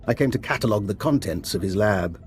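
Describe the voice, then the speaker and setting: An actor playing a polite, erudite scientist-spy in a video game, with formal, measured phrasing. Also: male voice, fairly low pitch, lively intonation, slight background noise, close to an everyday voice. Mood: musing, admiring. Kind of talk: dramatic game dialogue.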